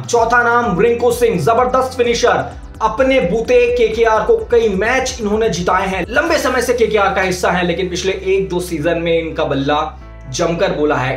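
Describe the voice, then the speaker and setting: A man in his thirties presenting a cricket news video to camera in a small indoor room, with an energetic, emphatic delivery. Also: roomy sound. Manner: energetic, emphatic delivery with rising and falling pitch. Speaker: sports reporter.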